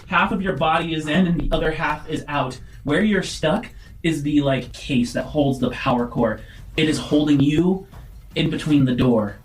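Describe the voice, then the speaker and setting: A man's voice: on the high side, moderate pace, slight background noise, rough recording. A man narrating a tabletop game as game master, descriptive and feeling his way through phrases.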